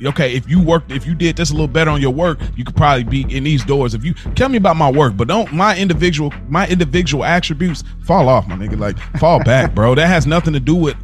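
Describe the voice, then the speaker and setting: A man's voice: on the high side, lively intonation, fast, few pauses, faint music behind. An American man co-hosting a podcast in casual chat, slangy and emphatic, often repeating himself for stress. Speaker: podcast co-host.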